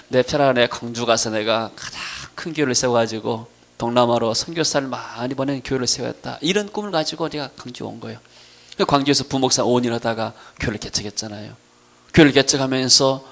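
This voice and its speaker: A middle-aged man with a Jeolla accent from Gwangju preaches a sermon with personal testimony, swinging between emphatic declarations and quieter storytelling. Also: mid-range voice, gravelly. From Gwangju region, South Korea. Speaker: pastor preaching.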